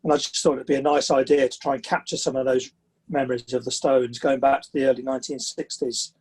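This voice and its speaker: Husky southern English man of sixty. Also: medium pitch, level tone, fast talker, darkish tone. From southern England. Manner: chatty, anecdotal. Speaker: interview guest, author. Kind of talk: conversational interview storytelling.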